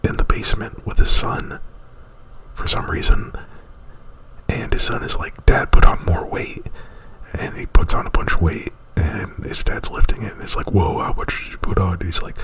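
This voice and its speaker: A man whispering an ASMR retelling of a movie plot right up at the mic, in a halting, rambling, informal way. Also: deep voice, rough recording. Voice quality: breathy whisper. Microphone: very close to the mic.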